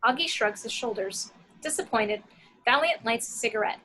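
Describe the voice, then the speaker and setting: Bright, high-voiced woman narrating a script. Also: normal speed, a little hiss or hum, low-quality recording. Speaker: action-description reader.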